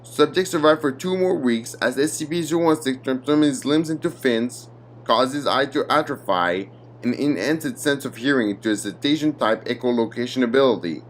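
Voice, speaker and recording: A man giving a formal, measured scripted narration of a clinical document. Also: mid-range voice, slight background noise.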